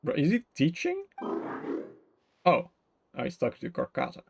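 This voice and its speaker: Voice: medium pitch, wildly swooping pitch, a little slow, creaky, background noise. A game streamer giving casual live commentary, mumbling in a playful, slangy way.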